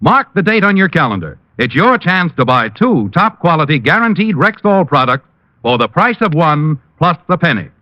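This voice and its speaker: A man's voice: fairly high pitch, sing-song, moderate pace. A man announcing credits and promotions for a 1950s radio show in a formal, polished, emphatic manner. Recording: muffled old radio recording with music.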